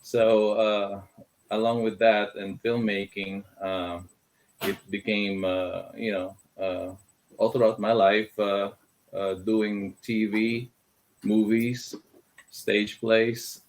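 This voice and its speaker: A very deep-voiced middle-aged man from the Philippines speaks as an interview guest. He talks in a hesitant, halting way, full of fillers, with Tagalog words in his English. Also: flat delivery, a little hiss or hum. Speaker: guest, filmmaker. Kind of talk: casual interview talk.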